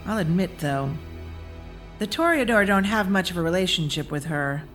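An actress playing a haughty, disdainful aristocratic vampire in an audio drama, with formal, theatrical, snobbish speech. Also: female voice, fairly low pitch, animated, normal speed, a bit bright. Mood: grave, haughty. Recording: music under the voice. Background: music.

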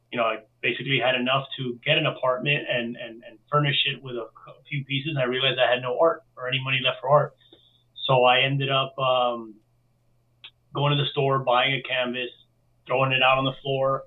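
A man from Miami talks as an interview guest, relating his story in a casual, chatty way. His voice is mid-pitched and comes through thin and phone-like, sitting some distance from the microphone. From Miami, United States. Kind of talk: casual chat, telling own story.

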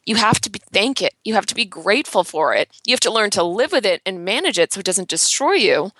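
A woman's voice: medium pitch, sing-song, fast talker, fairly bright tone, no echo. A woman in her thirties, a designer and blogger, talking earnestly about herself in a podcast interview, with light laughs and lots of "um" and "like". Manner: earnest, self-reflective, laughs lightly, many "um" and "like". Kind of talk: candid podcast interview chat.

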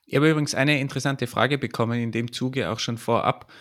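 A man's voice: medium pitch, brisk, fairly bright tone. A man from Austria with an Austrian accent, co-hosting a podcast in a wry, self-deprecating way. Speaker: podcast co-host. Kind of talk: casual podcast chat.

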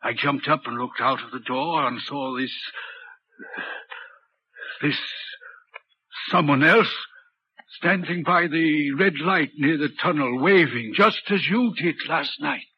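A haunted railway signalman in a vintage 1940s radio play. His voice sits fairly high for a man and he speaks with troubled, dramatic intensity. The recording is dull, with light noise. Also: takes it slowly, pauses often. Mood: haunted, urgent.

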